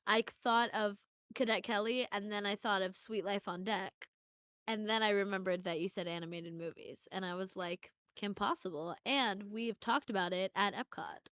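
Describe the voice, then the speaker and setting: A young woman co-hosting a podcast, chatting casually and teasing her partner with quick wit. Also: mid-range voice, a bit bright, clean tone, no room sound. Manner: teasing, quick-witted banter. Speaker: podcast co-host. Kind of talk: casual chat.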